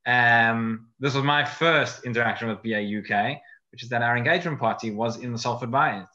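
A man's voice: medium pitch, fairly quick, bright, forceful tone. A man with a South African accent, a rabbi guest speaking earnestly and repeating words for emphasis. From Johannesburg, South Africa. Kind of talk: casual podcast chat. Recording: dull lo-fi audio.

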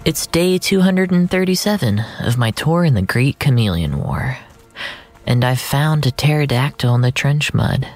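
A narrator delivering a scripted, surreal audio drama in a measured, dramatic way, often sinking into a breathy near-whisper. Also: mid-range voice, lively intonation, a little mellow, faint music behind.

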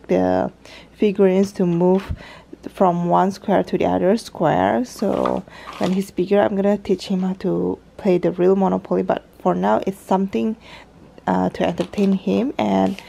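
A woman's voice: medium pitch, vocal fry, warm tone, slight background noise. A young mother chatting through a home vlog in her living room, explaining toys step by step in a casual, talkative way, recorded on a handheld camera. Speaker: parenting vlogger, mother. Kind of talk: casual how-to vlog narration. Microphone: handheld camera filming from above, speaker off screen.

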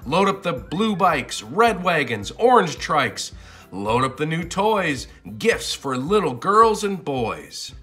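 A man reading a children's storybook aloud in an expressive, sing-song and deliberate way. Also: on the high side, soft background music. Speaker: storybook narrator.

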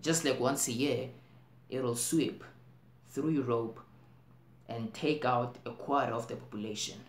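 A man playing an anxious, intense worrier in a dramatic stage monologue, in deliberate broken phrases with emphatic swells. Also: mid-range voice, natural voice. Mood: grimly insistent. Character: anxious, intense man brooding on global plagues. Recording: roomy echo, faint background noise.

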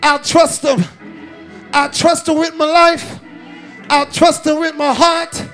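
A man preaching a fervent, half-chanted sermon in a gravelly voice, repeating phrases rhythmically and punctuating them with "uh". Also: extremely high pitch, level tone, takes it slowly, a bit bright. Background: music behind the preaching.

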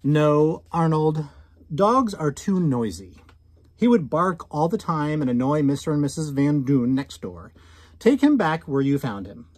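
A man's voice: fairly high pitch, big pitch swings, a little slow, a little mellow, dry recording. A man reading the part of a firm mother in a storybook, refusing and explaining with emphatic scolding. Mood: firm, exasperated.

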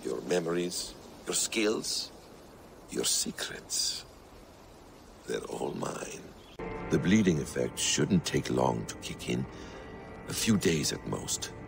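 An actor playing a calm, scheming ancient man who speaks in a measured, quietly menacing way, in a video game cutscene. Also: rumbling low, creaky, music in the background, full character voice.

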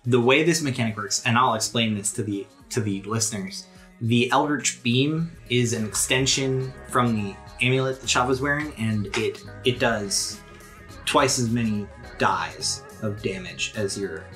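A game master talks through a tabletop game in an explanatory, conversational way. The voice sits at an ordinary pitch, with faint music behind it. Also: unhurried, fairly bright tone. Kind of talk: casual tabletop game narration.

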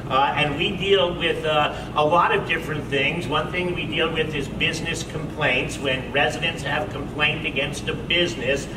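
A man in his fifties talks to a panel audience in a loud, outgoing way without using the mic. His fairly high voice reaches the recording from afar, with a roomy hall echo. A hum sits behind him. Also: fairly even intonation, normal speed, hardly any pauses, recorded from a distance. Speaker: consumer protection director. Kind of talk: panel talk to a live audience. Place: church hall.

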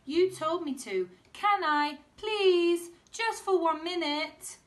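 A woman's voice: very high-pitched, slow, voice pushed up. A woman reading aloud, voicing an eager young boy pleading to join in.

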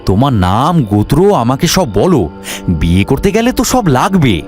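Man playing an animated, crafty village husband. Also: mid-range voice, moderate pace, over music, natural voice. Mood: sly, coaxing. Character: crafty married village grandfather, pleading and earnest. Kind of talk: Bengali cartoon voice acting.

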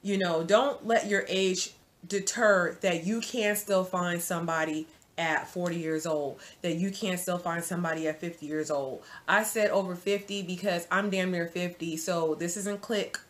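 A woman in her forties giving casual dating advice to viewers from her home, chatty and animated, calling the viewer sis. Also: fairly low pitch, moderate pace. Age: 40s. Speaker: vlogger. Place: home room, indoors.

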